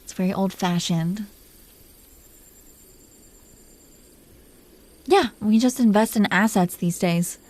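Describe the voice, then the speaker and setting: Mellow, teasing dragon queen played by a woman. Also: medium pitch, normal speed, no echo, natural voice. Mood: playful, possessive. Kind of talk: intimate romantic roleplay audio.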